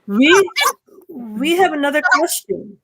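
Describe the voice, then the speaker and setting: A woman's voice: high-pitched, expressive, slow pace, very noisy. A woman moderating an informal community theatre Q&A on a video call, effusive and thankful in the way she talks. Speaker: host of a community theatre online talkback.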